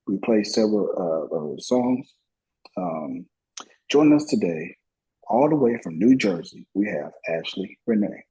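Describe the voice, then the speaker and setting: A man from Florida with an American accent and a husky voice, hosting a conversational interview in a halting way. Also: fairly low pitch, expressive, dark tone, rough recording. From Florida, United States. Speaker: music show host.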